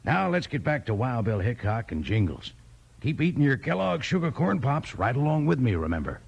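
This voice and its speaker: An American man pitches to young listeners in folksy cowboy talk, as on 1950s radio. His husky, gravelly voice swings up and down with enthusiasm. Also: sing-song, moderate pace, lo-fi sound. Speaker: sponsor announcer and pitchman.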